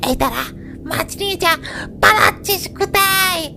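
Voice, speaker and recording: An actor playing the menacing ghost of an old fish-seller in a horror cartoon, with a shrill, creaky, commanding voice over music. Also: moderate pace, pushed and bright, heavily acted. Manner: taunting, commanding. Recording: music behind the voice.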